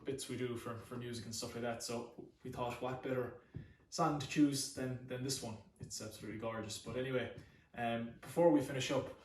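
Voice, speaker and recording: A man from Connemara with an Irish accent, speaking English though Irish is his first language, chatting casually and warmly fillered as he introduces a song from home. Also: mid-range voice, steady tone, fairly quick, few pauses, reverberant, far from the mic, slight background noise. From Ireland. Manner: chatty, many fillers, repeats words.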